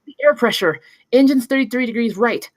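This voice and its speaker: A woman's voice: a little high, expressive, brisk, no echo, close to an everyday voice. A woman playing a cool, precise technical pilot in a screenplay table read. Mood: commanding.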